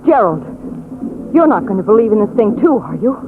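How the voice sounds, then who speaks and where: A woman's voice: medium pitch, sing-song, brisk, close to an everyday voice. An actress playing an anxious, frightened young woman in 1940s radio drama style. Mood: worried. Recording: noisy, tinny old radio recording.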